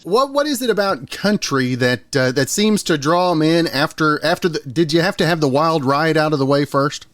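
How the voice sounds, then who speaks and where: A man's voice: on the high side, expressive, normal speed, hardly any pauses, fairly bright tone, dry recording. A man hosting a radio talk show, asking questions in a friendly, folksy way and often restarting his sentences. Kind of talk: casual radio interview.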